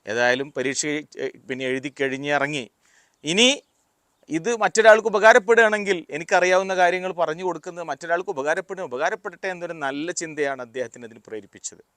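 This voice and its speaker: A man giving a motivational talk, explaining deliberately in short, paused phrases. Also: on the high side, a bit bright, no room sound, clean studio sound. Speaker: motivational speaker. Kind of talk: motivational talk with personal anecdotes.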